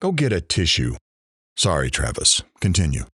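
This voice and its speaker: A man's voice: rumbling low, wildly swooping pitch, moderate pace, fairly bright tone, slightly rough, no echo, studio quality, full character voice. A man playing an irreverent radio talk show host in an audio drama, blunt, teasing and informal. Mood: exasperated.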